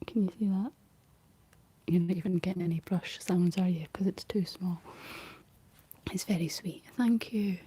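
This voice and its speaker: Young woman, Scottish accent, breathy ASMR whisper, mellow and low-pitched, gentle rambling chat. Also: moderate pace, dry recording. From Scotland. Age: young adult. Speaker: ASMR streamer reading and answering live chat. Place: living room.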